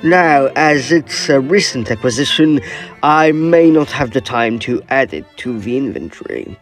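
A man playing a genial, excitable and slightly pompous Edwardian art collector in an audio drama. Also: medium pitch, unhurried, faint music behind, natural voice.

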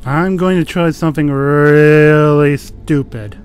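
A man's voice: fairly high pitch, steady tone, slow pace, smooth, soft background music. A man giving casual, sarcastic commentary as he records himself playing a video game. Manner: wry, sarcastic. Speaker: gamer recording a let's play.

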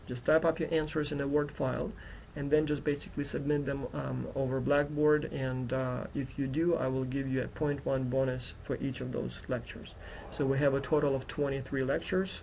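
A man's voice: fairly high pitch, vocal fry, darkish tone, slight background noise, poor audio. A man who is a non-native English speaker, giving an informal, explanatory course introduction as a university instructor. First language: not English, which is his fourth language. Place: indoors at a computer.

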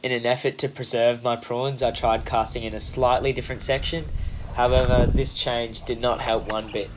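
A man's voice: mid-range voice, little pitch movement, moderate pace. Young Australian male vlog narrator, mid-range voice, scripted storytelling, faint noise behind.

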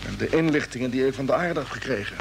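An actor playing a determined, earnest spaceship commander in an old Dutch radio drama, speaking emphatically. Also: male voice, medium pitch, fast, vocal fry, music in the background, natural voice. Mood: resolute. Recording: noisy vintage radio play recording.

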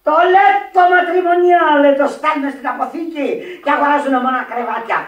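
A bitter, passionate widow from Sicily in a radio play. Her theatrical delivery swings widely up and down, with emphatic repetitions. The recording has a clear echo around the voice. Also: high-pitched, animated, slight background noise. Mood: scornful, agitated. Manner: theatrical, emphatic, repeating herself. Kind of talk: radio drama acting. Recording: echoey radio drama recording.

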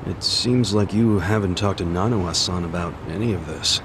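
A man's voice: sits low, moderate pace, background noise, lightly acted. An actor playing a plain-spoken, reassuring man in a dramatic video game.

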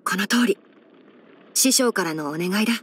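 A woman's voice: medium pitch, normal speed, fairly bright tone, husky. Composed, scholarly young male forest ranger. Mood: caring. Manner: composed, articulate, mildly wry, mentor-like explaining. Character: young male fox-eared forest ranger, scholarly, sensible, dryly caring. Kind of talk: scripted video game character voice-over.

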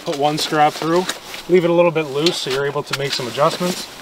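A young man giving a casual, hands-on how-to demonstration outdoors in the snow, pausing and restarting as he works. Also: on the high side. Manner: relaxed, hands-on, stops and starts while working. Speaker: gear maker demonstrating a product. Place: outdoors in snow beside a vehicle. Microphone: camera mic from a few steps away. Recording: distant outdoor mic with ambient noise.

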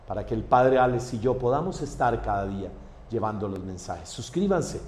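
A man from Colombia, a priest saying mass prayers and giving parish notices in a warm pastoral way. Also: mid-range voice. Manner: warm pastoral address to the faithful. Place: church. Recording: echoey church hall with faint background noise.

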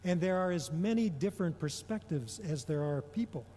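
A middle-aged man delivering a prepared homily in a measured, deliberate way, pausing often between phrases. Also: fairly high pitch, animated, dark tone. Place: large indoor church or hall. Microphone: gooseneck microphone on a lectern. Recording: long reverberation of a large hall.

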